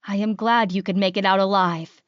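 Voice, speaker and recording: A woman's voice: medium pitch, fairly even intonation, fairly quick, dry recording, muffled recording, close to an everyday voice. A woman voicing a kind, reassuring female pack leader in an audiobook. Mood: tearful relief.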